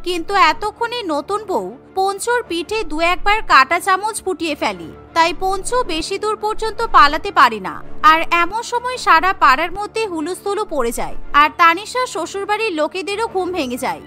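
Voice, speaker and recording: A woman's voice: very high voice, animated, moderate pace, sharp tone, faint music behind. A woman narrating a scripted cartoon story as storyteller.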